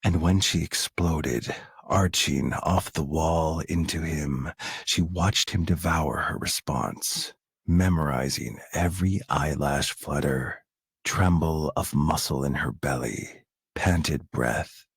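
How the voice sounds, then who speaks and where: A man reading sensual romance narration slowly, in a gritty, whispery voice. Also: rumbling low, breathy edge, no room sound. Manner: slow, drawn-out delivery. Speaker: voice actor contestant.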